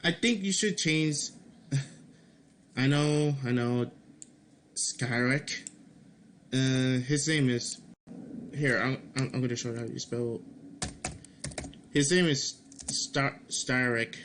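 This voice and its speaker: A man with a medium-pitched voice talks through his game in a casual devlog. His delivery is slow and halting, often restarting phrases and repeating words. He drops in mild swearing for emphasis. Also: background noise. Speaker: game developer making a devlog. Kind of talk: casual screen-recorded commentary, reading and answering feedback.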